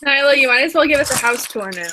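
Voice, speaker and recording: A young woman in her early twenties hosting a casual video call, chatty and quick to laugh. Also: sits high, animated, a crowd in the background, low-quality recording. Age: young adult. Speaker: livestream host. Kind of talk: casual group video chat. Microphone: computer mic on a video call.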